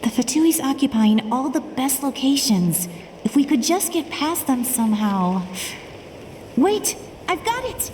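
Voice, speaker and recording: A woman's voice: high-pitched, sing-song, a little slow, darkish tone, a little hiss or hum. A woman voicing a plucky, eager young girl sneaking past guards in a video game. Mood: excited. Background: game music.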